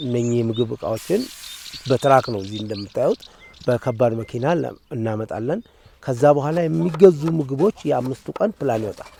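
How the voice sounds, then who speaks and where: Guy rattling off logistics, super quick, warm mellow voice. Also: medium pitch, creaky, a little hiss or hum. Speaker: program organizer. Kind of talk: explaining program logistics.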